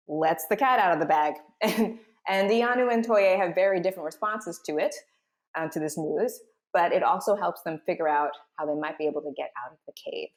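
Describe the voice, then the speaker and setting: A woman's voice: mid-range voice, a little mellow. A woman hosting as a voice director, explaining scenes and reading out stage directions. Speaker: host and voice director.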